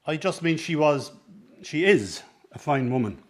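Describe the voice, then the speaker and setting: A man's voice: fairly high pitch, lively intonation, a little slow. A man acting in a radio drama as a scheming circus man, talking in an ingratiating, persuasive, coaxing way. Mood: teasing, sly. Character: smooth-talking, scheming circus man, mother's old flame. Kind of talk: dramatic radio play dialogue.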